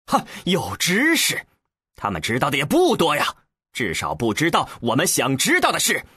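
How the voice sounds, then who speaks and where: A man's voice: on the low side, very animated, normal speed, a bit bright, slightly rough, no room sound, clean studio sound, voice pushed down, heavily acted. A man playing an impatient, sardonic space explorer in an audiobook, speaking in a skeptical, commanding way. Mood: growling, determined. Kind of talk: acted audiobook dialogue.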